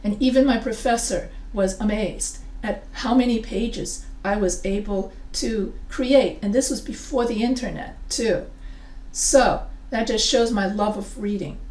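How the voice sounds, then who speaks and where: A woman's voice: mid-range voice, a little slow, darkish tone. A middle-aged woman, a professor of English composition, giving an unscripted lesson to her webcam and gesturing a lot as she explains. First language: not French. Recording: echoey room, a bit far off.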